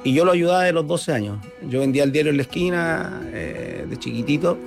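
A man's voice: on the high side, big pitch swings, fairly quick, vocal fry, over music. A middle-aged man with a Chilean Spanish accent, a former footballer telling stories from his career in a chatty, reminiscing way. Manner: chatty, reminiscing, repeats himself. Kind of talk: casual interview storytelling.

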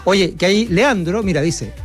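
A man's voice: high-pitched, faint music behind. A man with a Chilean accent hosting a casual chat about football. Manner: chatty, storytelling, drawn-out phrasing. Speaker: host.

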